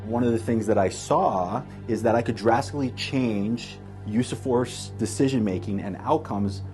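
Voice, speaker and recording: A man's voice: lowish voice, moderate pace, a little mellow, soft background music. A man, a researcher and former police officer, explaining things in an interview.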